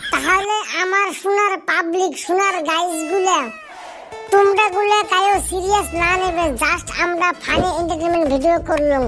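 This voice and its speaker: Squeaky, brash cartoon schoolboy. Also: male voice. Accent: northern Bengali. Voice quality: artificially high, childlike. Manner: brash, cursing, bickering. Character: cheeky, hot-tempered, foul-mouthed cartoon schoolboy. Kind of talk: comedy cartoon voice acting.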